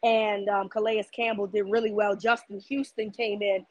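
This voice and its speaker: A woman co-hosting a sports podcast, talking in a hoarse, raspy voice in casual, animated chat and often repeating herself as she thinks aloud. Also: medium pitch, moderate pace, no room sound, muffled recording.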